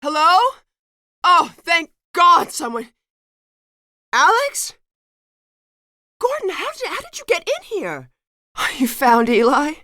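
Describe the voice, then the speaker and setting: A woman's voice: high voice, huge pitch swings, takes it slowly, pauses often, sharp tone, dry recording, studio quality, exaggerated voice. An actress playing an earnest, composed middle-aged woman physicist in a video game, speaking precisely and a little formally. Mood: startled, anxious. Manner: precise, articulate, slightly formal. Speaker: scientist character in a video game. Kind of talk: scripted video game voice acting.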